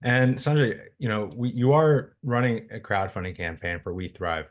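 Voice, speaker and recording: Deep-voiced man hosting a webinar. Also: normal speed. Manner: casual, filler-heavy, friendly moderator. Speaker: webinar host, content marketing director.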